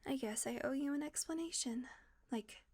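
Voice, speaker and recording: A woman acting a tense female vampire who switches between hushed whispers and cursing outbursts in an audio roleplay. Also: sits high, normal speed, lightly acted. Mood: shaken, whispering.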